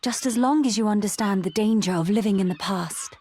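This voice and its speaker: A woman's voice: mid-range voice, brisk, a little mellow, close to an everyday voice. A woman voicing a dignified, solemn young noblewoman in a video game, formal and composed. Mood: gentle, composed. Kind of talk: dramatic video game voice acting.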